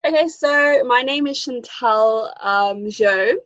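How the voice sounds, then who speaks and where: A woman's voice: on the high side, slow pace, fairly bright tone, no room sound. An earnest young woman from Zimbabwe shares her personal story as a podcast guest, thoughtful and full of fillers. The sound is slightly dull and muffled, and very dry. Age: young adult. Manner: earnest, reflective, frequent fillers.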